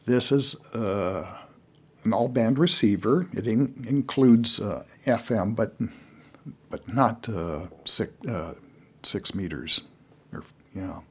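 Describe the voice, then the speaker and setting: An older man giving casual, unscripted how-to advice to beginners as a hobbyist, in a halting, rambling way with frequent pauses. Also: fairly low pitch, slightly rough.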